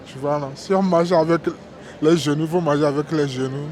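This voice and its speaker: A man from Togo, interviewed outdoors, tells his story haltingly with many restarts and keeps asking "tu vois, non?". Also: on the high side, steady tone, darkish tone. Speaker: interview guest, migrant worker. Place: outdoors on a busy street.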